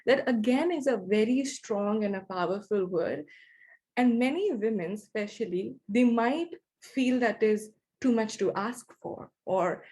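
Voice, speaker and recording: A woman's voice: on the high side, a little slow, warm tone. A woman with Indian roots, hosting an interview in a chatty, casual way full of fillers. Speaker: host, optometrist.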